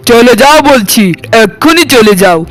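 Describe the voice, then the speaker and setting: A man's voice: very high voice, lively intonation, moderate pace, a bit bright. A man voicing a noble, protective young landlord's son in a cartoon, formal and declamatory. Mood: stern, angry. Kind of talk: Bengali cartoon voice acting.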